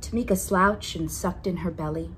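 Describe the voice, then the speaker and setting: Female storyteller, slightly low pitch, expressive children's read-aloud, stretched emphasis, background noise audible. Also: normal speed. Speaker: storyteller reading a picture book aloud.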